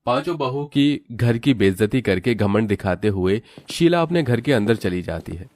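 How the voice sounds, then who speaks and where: A man's voice: medium pitch, animated, moderate pace, darkish tone. A man narrating a scripted cartoon moral tale as its storyteller.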